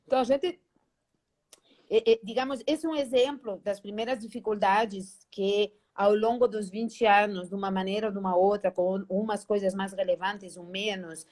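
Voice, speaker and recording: A middle-aged woman from Spain with a Spanish accent, a guest on a video-call interview, telling animated stories and acting out old conversations. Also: mid-range voice. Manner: animated, acts out past dialogues, frequent "né?" tags. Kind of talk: casual storytelling interview.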